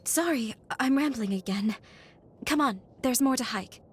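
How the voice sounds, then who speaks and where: A young healer in a game cutscene, with music playing behind the voice. The woman is earnest and caring, articulate and gentle, with an old grudge underneath. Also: high-pitched, measured pace, slightly rough, heavily acted. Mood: apologetic. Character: caring young female healer companion with a bitter family grudge. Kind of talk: video game cutscene voice acting.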